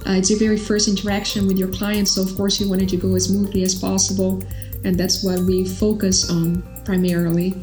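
A woman's voice: medium pitch, level tone, fairly quick, warm tone. A woman with a Brazilian accent talks casually and a little hesitantly, with fillers. Her voice sounds roomy and echoey, with light music behind her. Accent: Brazilian Portuguese. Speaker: interviewee, customer success helper. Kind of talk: casual interview.

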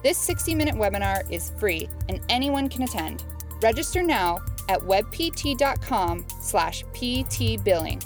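A woman reading a scripted promotion in a crisp, promotional manner. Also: medium pitch, big pitch swings, measured pace, soft background music. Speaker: announcer for a webinar ad. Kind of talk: scripted ad read.

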